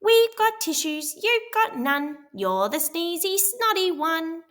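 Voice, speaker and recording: A woman voicing cheeky little pigs who squeal their lines in a very high voice. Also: very animated, measured pace, sharp tone, exaggerated voice. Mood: taunting.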